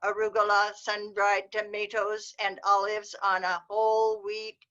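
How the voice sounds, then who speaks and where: A woman's voice: medium pitch, flat delivery, unhurried, a bit bright, lightly acted. Woman reading a prying, unflappable pizza clerk. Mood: polite. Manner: polite, unflappable and coolly formal. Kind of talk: amateur readers theater over video call. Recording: dull lo-fi video call audio.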